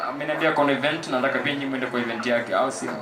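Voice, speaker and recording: A man's voice: mid-range voice, steady tone, rapid-fire, roomy sound, background noise. A Kenyan man hosting a poetry night and reciting rhyming spoken word, switching between Swahili slang and English. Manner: rhythmic rhyming delivery, mixing Swahili and English. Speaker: poetry event host and spoken word poet. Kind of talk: live stage hosting and spoken word in Sheng. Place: large hall with live audience.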